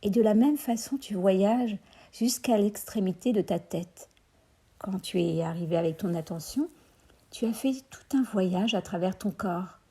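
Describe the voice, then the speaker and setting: A woman guides a children's meditation in a very dark, mellow voice with a husky, breathy edge. She speaks gently and soothingly, like a teacher. Her instructions are deliberate, inviting the listener to pay attention. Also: medium pitch. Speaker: schoolteacher and children's yoga teacher.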